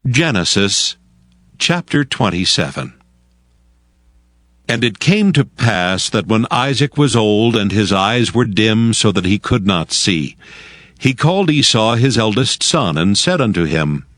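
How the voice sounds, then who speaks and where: A man's voice: fairly low pitch, big pitch swings, unhurried, a bit bright, no echo, studio quality. A man giving solemn, scripted narration of scripture as the narrator of an audio drama.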